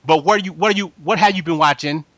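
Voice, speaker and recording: Male podcast host, quick and forceful, slightly high, slangy casual fan talk with emphatic repetition. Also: dry recording. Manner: slangy, repeats words and phrases emphatically. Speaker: podcast host moderating a group discussion. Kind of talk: casual anime fan chat.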